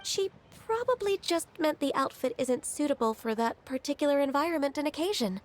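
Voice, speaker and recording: A woman playing a gentle, helpful, refined young woman in a video game, speaking in a considerate, reassuring way. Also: sits high, expressive, brisk, slight background noise. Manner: considerate, reassuring, well-spoken.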